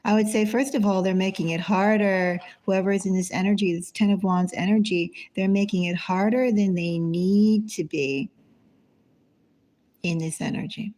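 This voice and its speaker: A woman's voice: mid-range voice, steady tone, moderate pace, a little mellow. A woman giving a casual tarot reading, rambling and thinking aloud, asking herself small questions as she goes. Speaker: tarot reader. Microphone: phone.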